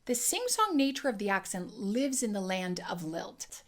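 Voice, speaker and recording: Woman, voice and dialect coach, accent lesson, demonstrates sounds, clear explaining tone. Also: mid-range voice, sing-song, normal speed, a bit bright.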